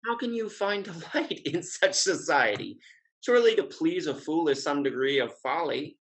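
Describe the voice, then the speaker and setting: An actor playing a witty, lovesick gentleman suitor in a Restoration stage comedy, speaking in a formal, wry, sententious way. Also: a little high, animated, moderate pace. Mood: sardonic.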